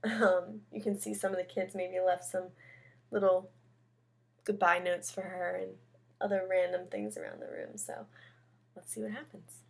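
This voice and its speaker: A woman teacher reading a picture book aloud, pausing to explain and comment on the pictures as she goes. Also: fairly low pitch, flat delivery, vocal fry. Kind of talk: storybook read-aloud with chatty asides.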